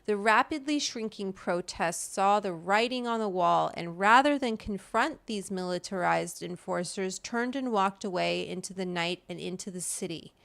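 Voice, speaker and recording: A woman's voice: mid-range voice, normal speed, creaky, few pauses, fairly bright tone. A woman hosting a talk, reading a written political essay aloud in an emphatic, impassioned way with brief asides. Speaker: host reading an article aloud.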